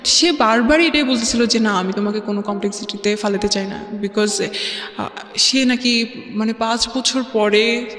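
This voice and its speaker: A woman's voice: on the high side, moderate pace, fairly bright tone. A young adult woman with a Bangladeshi accent tells a personal story conversationally, mixing in English words. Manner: mixes English words into her talk. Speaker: guest telling her life story. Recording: roomy reverberant studio, music underneath.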